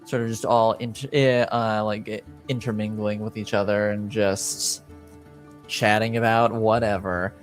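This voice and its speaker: A man working as game master, improvising narration for a tabletop game in a halting way, searching for words. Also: fairly low pitch, little pitch movement, soft background music.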